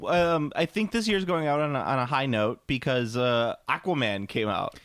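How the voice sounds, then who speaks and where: A man's voice: medium pitch, lively intonation, normal speed, a bit bright, no echo, clean studio sound. A man in his thirties co-hosting a podcast in casual, sarcastic, comedic chat with some swearing. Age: 30s. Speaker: podcast co-host.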